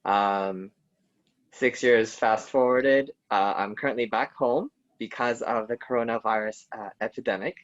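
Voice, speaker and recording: A man's voice: lowish voice, a little slow, dry recording, lo-fi sound. A young man from Canada chatting casually on a video call, hesitant with many fillers and restarts. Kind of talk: casual group chat.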